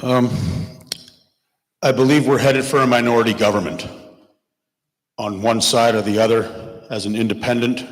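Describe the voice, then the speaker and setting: A man's voice: medium pitch, steady tone, normal speed, long pauses. A man running as an independent candidate, answering an audience question passionately and plainly. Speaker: election candidate. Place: church hall. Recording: long reverb of a hall.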